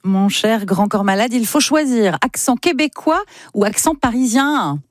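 A woman's voice: a little high, lively intonation, a little slow, dry recording, clean studio sound. A woman hosting a conversational interview, putting questions to a guest in an informal, playful way. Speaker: radio or show host interviewing a guest.

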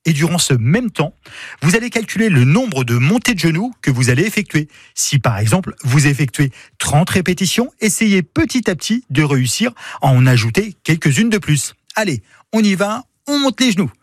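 A man's voice: on the high side, very animated, normal speed, slightly rough, no room sound, clean studio sound. A man, a sports coach on the radio, guiding listeners through breathing exercises in an upbeat, encouraging way.

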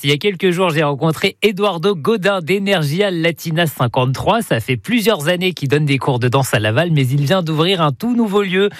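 A man's voice: a little high, no echo, studio quality. Playful male reporter, raised voice. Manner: playful, self-mocking. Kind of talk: light-hearted local radio report.

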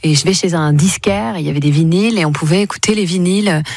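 A woman with a low, gravelly voice talks about music as a radio guest. She hesitates often and gropes for words, filling the gaps as she goes. The recording is dry and close to the mic. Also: fast, a little mellow, dry recording. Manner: hesitant, searching for words, many fillers. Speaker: singer-songwriter guest. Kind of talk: casual interview talk.

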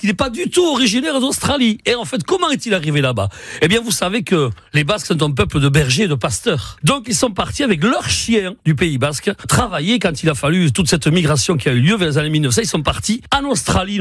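A man's voice: high-pitched, very animated, fast, a bit bright, slightly rough, no echo, clean studio sound. A man explaining a story on a radio segment, playful and emphatic. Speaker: radio columnist on local heritage.

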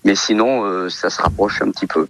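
A man's voice: low voice, fairly even intonation, brisk, gravelly. A middle-aged man from Portugal, answering interview questions hesitantly, with many fillers and restarts. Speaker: interviewed guest, market olive oil seller. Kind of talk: casual radio interview answers.

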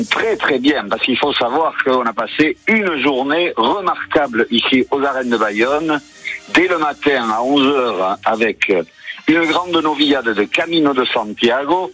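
Husky-voiced man reporting live. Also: medium pitch, expressive, unhurried, pushed and bright, faint music behind, rough recording. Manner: hesitant, many fillers, enthusiastic. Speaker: field reporter. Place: bullring arena.

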